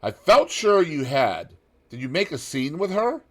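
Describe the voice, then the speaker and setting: A man reading a novel aloud, voicing a witty, worldly older gentleman in a raised, lilting upper-class tone. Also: fairly high pitch, sing-song, a little slow, voice pushed up. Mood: urgent, airy.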